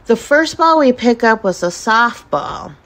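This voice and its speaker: A woman's voice: fairly high pitch, animated, a little slow, a bit bright. A woman podcast host telling a casual first-person story in a chatty way, acting out remarks people made. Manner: chatty, acts out quoted remarks.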